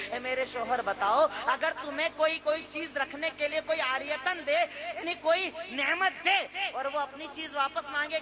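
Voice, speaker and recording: High-voiced impassioned male preacher. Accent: Indian Urdu. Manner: impassioned, rising to exhortation with repeated rhetorical questions. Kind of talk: Islamic sermon in Urdu-Hindi.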